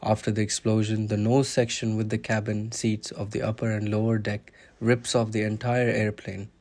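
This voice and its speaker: Even, flat-pitched male documentary narrator. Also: normal speed.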